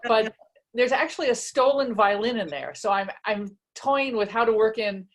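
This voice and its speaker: A woman's voice: fairly high pitch, moderate pace. A woman author talking casually about their books and reading aloud, in a chatty, self-deprecating, conversational way. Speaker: author presenting and reading from their own book. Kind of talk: casual book talk and read-aloud.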